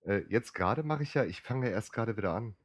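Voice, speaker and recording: A man from the Ruhr area, a podcaster guest chatting casually, rambling and self-mocking, a bit hoarse, often clearing his throat. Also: fairly low pitch, lively intonation, fast, no room sound, clean studio sound. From Ruhr area, Germany. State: slightly hoarse. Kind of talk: casual chat.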